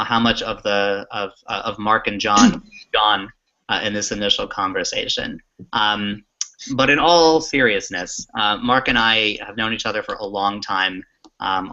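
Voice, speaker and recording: Male co-host, middle-aged, forward bright tone, halting dry humour, lo-fi call sound. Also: mid-range voice. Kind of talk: casual hosting talk. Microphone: video call. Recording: dull lo-fi online call audio.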